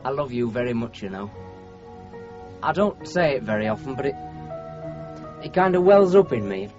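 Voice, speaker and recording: An actor playing a bookish, devoted young bridegroom with a Northern English accent in a radio drama, hesitant, stammering and apologetic. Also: male voice, mid-range voice, very animated, normal speed, a little mellow, natural voice. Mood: tender, flustered. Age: young adult. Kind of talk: 1970s radio drama acting. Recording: muffled 1970s radio broadcast, music behind.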